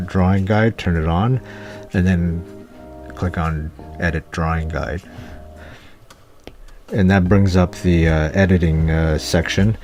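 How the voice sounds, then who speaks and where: A man with a very low voice narrates a digital art demonstration. He speaks off the cuff, halting and searching for words as he thinks aloud. Guitar music plays clearly behind his voice. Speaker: digital art tutorial presenter. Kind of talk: unscripted software tutorial voice-over.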